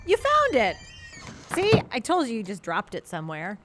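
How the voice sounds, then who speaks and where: A woman's voice: on the high side, wildly swooping pitch, moderate pace, background noise. An actress playing a cheery, doting older mother in an audio drama, with a folksy, effusive manner. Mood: cheery, delighted. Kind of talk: naturalistic audio drama acting.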